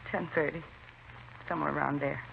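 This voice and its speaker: A woman's voice: sits low, steady tone, brisk, creaky, slight background noise. A woman playing a weary, subdued middle-aged widow in a 1950s radio drama, on an old muffled recording.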